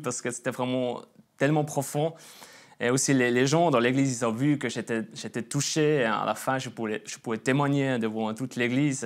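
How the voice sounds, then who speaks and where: A man in his twenties from Switzerland with a Swiss German accent and a Swiss German mother tongue, giving a faith testimony in an interview, hesitant, with many fillers and restarts. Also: medium pitch. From Emmental, Bern, Switzerland. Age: 20s.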